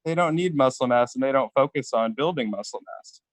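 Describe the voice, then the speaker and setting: A man with a middle-pitched voice, recorded dry and close. He talks casually as a guest in a live debate. His delivery is halting and defensive, coming in short pieces. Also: animated, dry recording.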